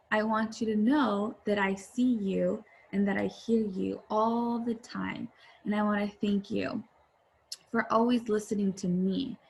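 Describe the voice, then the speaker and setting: Woman teacher doing a kids read-aloud, takes it slow, expressive, faint noise behind her. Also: mid-range voice, rough recording. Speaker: teacher reading a picture book. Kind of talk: children's read-aloud, addressing young viewers.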